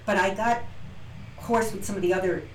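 A middle-aged woman, an actress and acting teacher, telling her story as an interview guest, animated and acting out quoted lines with frequent restarts. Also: medium pitch, moderate pace. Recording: roomy, distant from mic, faint noise.

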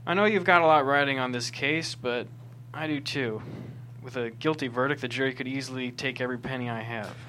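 Actor as principled, earnest male crusader; bright tense voice, insistent, table read with light noise. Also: mid-range voice, brisk, lightly acted, poor audio. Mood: resolute. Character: earnest, principled man warning of danger.

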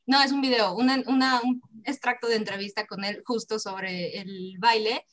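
A woman's voice: a little high, steady tone, moderate pace, a bit bright. Laughing Mexican woman co-hosting a stream. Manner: laughing, playful. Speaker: co-host of a live stream show. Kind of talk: casual chat, reading superchats.

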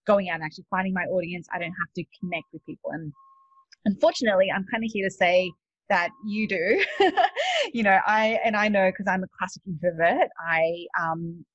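A woman's voice: fairly low pitch, fairly even intonation, a little fast, dry recording. A woman with an Australian accent, a guest coach chatting casually, laughing often and using many fillers. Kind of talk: casual webinar talk. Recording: dull lo-fi dry sound.

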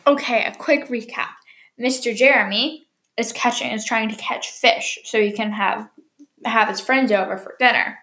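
A woman's voice: on the high side, moderate pace, bright, forceful tone, soft background music. A woman hosting and narrating a storybook for children.

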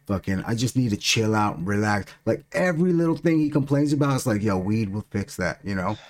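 A man's voice: lowish voice, expressive, fairly quick. A middle-aged man who stutters and has a lisp, talking casually and with plenty of swearing as a podcast co-host. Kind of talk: casual chat.

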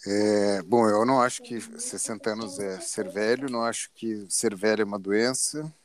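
A man's voice: fairly low pitch, normal speed. A man with a Brazilian accent in a reflective panel discussion, searching for his words as he goes.

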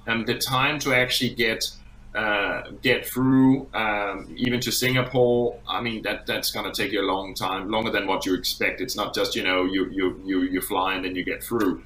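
Guy from denmark giving his take in an interview, bright voice, echoey room, restarts words a lot. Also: on the low side, steady tone, moderate pace. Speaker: hospitality industry expert guest. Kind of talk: conversational interview commentary. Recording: roomy, echoey sound.

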